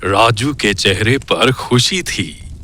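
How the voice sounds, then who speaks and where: A man narrating a scripted horror story in a dramatic, suspenseful and expressive storytelling style. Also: lowish voice, slow, a little hiss or hum. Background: background music.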